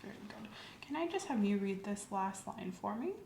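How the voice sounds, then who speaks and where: A soft-spoken woman in an ASMR medical roleplay, gently and calmly playing an examiner, often dropping into a whisper. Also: medium pitch, creaky. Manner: gentle, reassuring, unhurried clinical narration. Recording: roomy echo, faint ambient noise.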